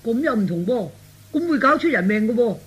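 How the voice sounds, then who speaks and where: Old Cantonese dad, high thin voice, swooping intonation, easygoing, exclamatory, radio storytelling. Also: male voice, animated, brisk, breathy edge, full character voice, poor audio. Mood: concerned. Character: easygoing, exclamatory elderly father with grown son. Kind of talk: one-man radio storytelling.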